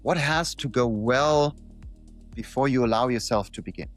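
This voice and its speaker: A man reading his own reflective motivational piece aloud in deliberate short phrases, leaning on repetition for emphasis. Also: medium pitch, faint music behind.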